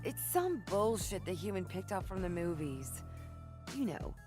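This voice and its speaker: Haughty low-voiced vampire woman. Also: sing-song, measured pace, over music. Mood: scornful. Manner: disdainful, condescending. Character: haughty, foul-mouthed female vampire craving luxury. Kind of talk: visual novel game voice acting.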